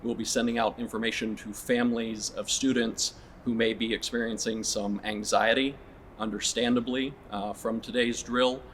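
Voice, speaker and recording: Even-toned man making a formal statement. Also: mid-range voice, moderate pace, a little hiss or hum. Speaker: school administrator.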